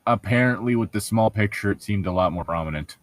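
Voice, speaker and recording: A man's voice: fairly low pitch, fast talker, no room sound. A man co-hosting a casual book commentary, swearing freely and rambling through his explanations with plenty of "like". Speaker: podcast co-host reading and critiquing a book.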